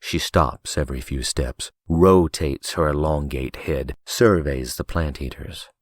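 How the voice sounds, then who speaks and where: A man's voice: a bass voice, measured pace, no room sound. A man reading scripted audiobook narration as a professional narrator, close on a studio mic.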